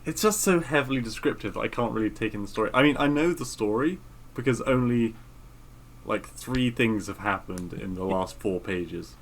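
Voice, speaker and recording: A man's voice: medium pitch, normal speed, rough recording. A man co-hosting a podcast, reading a story aloud and chatting casually about it. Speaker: podcast co-host.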